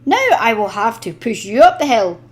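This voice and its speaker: A woman's voice: a little high, sing-song, moderate pace. Haughty, sniffy express locomotive for a children's storybook, read by a woman. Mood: cross. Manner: haughty, dismissive. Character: pompous, sniffy male express steam engine.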